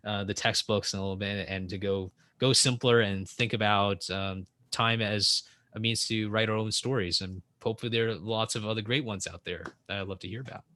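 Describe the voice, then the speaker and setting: A young man talks as a podcast guest, his voice low and bright with a forceful edge. He rambles, stopping and restarting often. The sound is dry and close, with no room in it. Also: steady tone, fairly quick, few pauses, no room sound. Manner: rambling, many restarts and fillers. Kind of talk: casual conversation.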